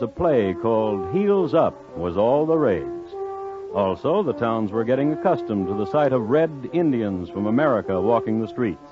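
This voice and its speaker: A man hosting and narrating a 1950s radio crime story in a dry, wry, measured storytelling manner. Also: mid-range voice. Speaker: host and narrator. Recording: dull 1950s radio recording.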